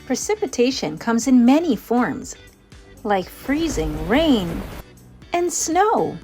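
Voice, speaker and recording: Animated, slow-paced woman reading to children. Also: on the high side. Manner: playful, exaggerated emphasis on descriptive words. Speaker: children's read-aloud host. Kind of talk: animated children's storybook narration. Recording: music playing behind the voice. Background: music.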